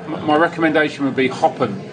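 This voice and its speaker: A man with a London English accent, speaking in a measured, slightly hesitant way as a pub guest. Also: a little high, over music, rough recording. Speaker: podcast guest. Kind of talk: casual pub chat.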